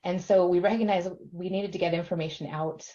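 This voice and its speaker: Mellow woman, dull lo-fi interview. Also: lowish voice, fairly quick. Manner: steady, with frequent fillers. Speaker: extension professional. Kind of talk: documentary interview. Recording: dull lo-fi recording.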